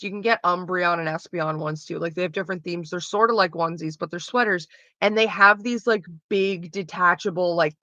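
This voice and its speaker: A woman's voice: lowish voice, bright, forceful tone, no room sound, muffled recording. A woman with a Canadian accent chatting casually with her livestream viewers, rambling and swearing freely. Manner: rambling and profane, loose with frequent fillers.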